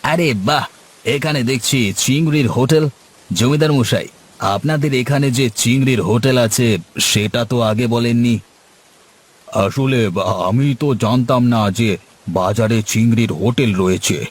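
A man voicing a jolly, food-loving middle-aged customer in a cartoon who exclaims loudly and savours every bite. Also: mid-range voice, measured pace, breathy edge. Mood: pleased.

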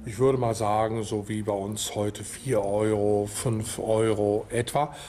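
Male expert interviewee, measured and didactic, slow delivery, some background noise. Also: lowish voice, fairly even intonation, dark tone. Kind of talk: explanatory interview talk. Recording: background noise and location ambience.

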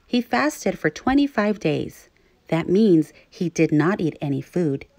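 A woman teacher reading aloud to young children, phrasing things clearly and putting questions to her listeners. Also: fairly low pitch, sing-song, a little slow, darkish tone, dry recording. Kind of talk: children's read-aloud narration.

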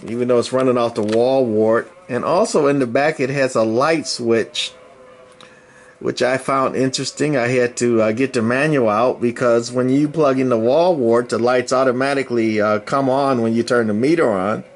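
Male hobbyist presenter, medium pitch, casual and unscripted, halting matter-of-fact explanations, handheld camera sound. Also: fairly even intonation. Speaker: hobbyist presenter demonstrating radio gear. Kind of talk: casual unscripted product demonstration. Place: indoors at a home radio bench. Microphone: handheld camera mic, speaker behind camera.

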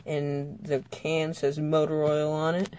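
A young student giving a casual, unscripted toy car review in a hesitant, halting way with trailing thoughts. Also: medium pitch, monotone, darkish tone, a little hiss or hum.

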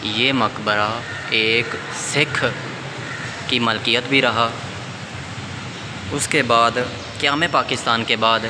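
A man narrating a historical walking tour in a measured, reverent, halting way, phrase by phrase. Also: mid-range voice, background noise. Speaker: vlogger and guide.

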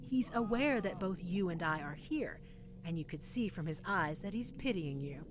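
A woman's voice: on the low side, expressive, moderate pace, background noise, lightly acted. A woman playing a sadistic, gleeful mad scientist in an audio roleplay, teasing her captive listener with sweet condescension. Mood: gleeful, proud.

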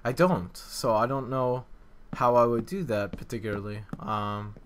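A man's voice: medium pitch, measured pace. A man giving casual, unscripted commentary as a game developer, explaining things in a hesitant, rambling way. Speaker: video game map developer and video maker. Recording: clear background noise with clicks.

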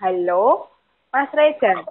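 A woman's voice: mid-range voice, animated, slow. A woman playing a polite, grateful mother asking questions in a prank phone call. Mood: curious. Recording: dull, noisy phone line.

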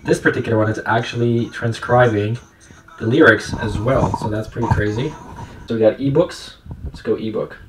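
A man's voice: mid-range voice, normal speed, rough recording. A man giving a casual product review in an unboxing video. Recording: clear background noise with clicks.

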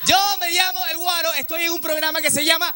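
Young Venezuelan man, very high excitable voice. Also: fast. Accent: Venezuelan Spanish. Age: young adult. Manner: excitable, repeating phrases. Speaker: guest TV presenter. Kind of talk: playful live TV banter. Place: TV studio stage. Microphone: handheld microphone. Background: music.

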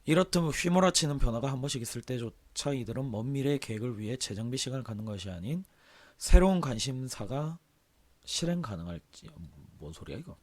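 Male game streamer, husky worn-out throat, offhand casual delivery with lively swings in pitch. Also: a little fast. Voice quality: hoarse, husky. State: sore, hoarse throat with throat clearing. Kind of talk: casual livestream chat, reading aloud and commenting.

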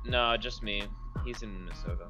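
A man's voice: fairly low pitch, a little fast, pushed and bright, soft background music, poor audio. A young man chatting and teasing a friend over voice chat while gaming. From Midwest United States. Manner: teasing, laughing. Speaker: gamer on voice chat.

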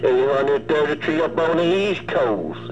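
A man's voice: a little high, animated, a little slow, slight background noise. A man making casual CB radio chatter as an operator, heard through a radio transmission. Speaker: CB radio operator in New York. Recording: muffled CB radio, background chatter.

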